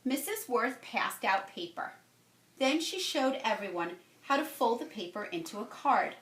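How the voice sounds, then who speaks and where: Female storyteller for a children's picture book. Higher-pitched, very animated read, recorded in an echoey room. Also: a little slow, a little hiss or hum. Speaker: teacher reading a picture book aloud. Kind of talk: expressive children's read-aloud. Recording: roomy, echoey home-style recording.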